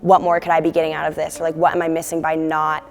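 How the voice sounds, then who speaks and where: Young woman from connecticut, lowish flat voice, says like a lot, reverby with music. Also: fast talker. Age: young adult. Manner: reflective, many "like" fillers. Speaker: student giving testimony. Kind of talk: personal testimony.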